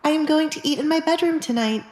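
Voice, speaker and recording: A woman's voice: high-pitched, quick pace, darkish tone, echoey room, pitched above the performer's own voice, lightly acted. A woman voicing a defiant, determined young noblewoman, guarded and stubborn, in dramatized audiobook dialogue. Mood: defiant, firm.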